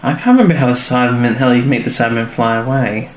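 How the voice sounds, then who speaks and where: A man's voice: mid-range voice, fast talker. A man co-hosting a podcast, recapping episode scenes in an easygoing, casual way. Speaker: podcast co-host. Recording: echoey room, faint background noise.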